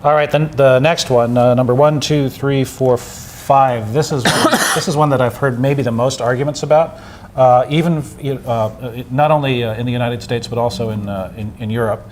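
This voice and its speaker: A man's voice: medium pitch, steady tone, moderate pace, slight background noise. A man hosting a casual live chat, talking in a rambling way with many fillers and restarts. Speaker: host.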